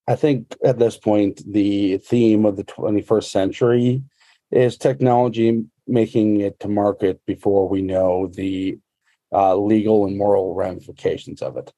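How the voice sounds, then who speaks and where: A man on a discussion panel, speaking in a slow, measured, thoughtful way. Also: on the low side, fairly even intonation, dark tone, dry recording, low-quality recording. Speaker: podcast panelist.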